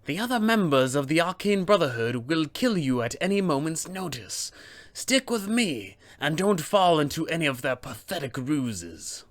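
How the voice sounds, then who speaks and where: A man's voice: on the high side, sing-song, normal speed, pitched above the performer's own voice. A man voicing a haughty, self-serving female wizard with formal, theatrical disdain for a tabletop game. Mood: warning. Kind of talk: acted villain lines for a tabletop game.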